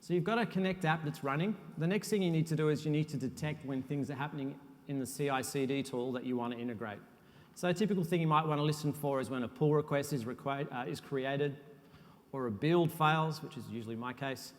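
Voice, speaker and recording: A man presenting a technical talk to a conference audience. His voice sits a little high for a man, and it carries a long reverb from the hall. He speaks in a relaxed, self-deprecating way, with frequent uhs. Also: quick pace. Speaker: conference speaker, software architect.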